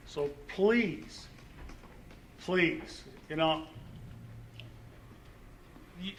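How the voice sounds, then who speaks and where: A man with a fairly high voice addresses a public meeting as a concerned resident. He is emphatic and colloquial, leaning on repeated phrases and rhetorical questions. Faint noise sits behind him in the room. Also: a bit bright. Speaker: resident speaking at public comment. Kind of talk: impassioned public comment at a school board meeting. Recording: noisy meeting room recording.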